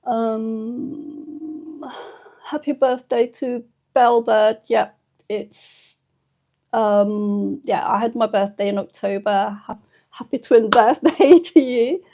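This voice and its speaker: Soft, slow-spoken woman in her fifties. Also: fairly high pitch. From United Kingdom. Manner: soft-spoken and hesitant, with many pauses and fillers. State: emotional and a little tearful. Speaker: channel host. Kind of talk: casual live-stream chat with viewers.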